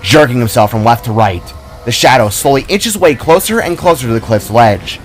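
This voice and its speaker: Guy narrating an action fantasy story dramatically, normal pitch, music going behind him. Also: expressive, a little fast, rough recording. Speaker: author-narrator.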